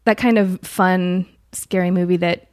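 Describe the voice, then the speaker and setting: A woman with a somewhat low voice talks in an easygoing, wry way. She often lets her sentences trail off. The sound is close and dry, with no room echo. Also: steady tone, unhurried, darkish tone. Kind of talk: casual podcast conversation.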